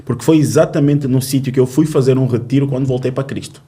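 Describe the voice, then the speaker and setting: A man in his thirties from Angola, a youth ministry leader, telling his faith story in an earnest, storytelling way with filler pauses in a podcast interview. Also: mid-range voice, fairly even intonation, warm tone, husky. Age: 30s.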